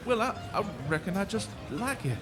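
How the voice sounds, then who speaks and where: An actor playing a simple, scrawny drifter with a rural American accent, hesitant and folksy, in a western radio drama. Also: male voice, sits high, sing-song, moderate pace. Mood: meek. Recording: music behind the voice.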